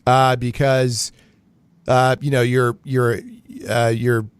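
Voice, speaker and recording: A man's voice: mid-range voice, flat delivery, slow pace, smooth, dry recording. A man hosting a radio show, chatting casually in a jokey, rambling way with frequent digressions. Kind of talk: casual, rambling interview chat.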